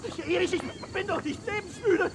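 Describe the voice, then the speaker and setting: Panicky bandit, cowardly, stammering, very high squeal, western audio drama, loud background noise. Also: male voice, normal speed. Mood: terrified.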